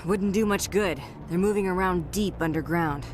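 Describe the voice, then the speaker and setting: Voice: medium pitch, normal speed, slight background noise, close to an everyday voice. An actor voicing a battle-weary, gritty soldier lieutenant in a video game, with blunt, clipped military talk. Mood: grim, matter-of-fact.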